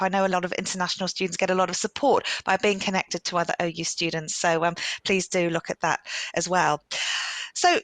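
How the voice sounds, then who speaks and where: A woman's voice: medium pitch, a bit bright, dry recording. Woman host, upbeat and encouraging, dry close recording. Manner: encouraging, upbeat, reads viewer comments and poll results aloud. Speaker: host of a live student webcast. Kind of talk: warm, chatty live-stream hosting.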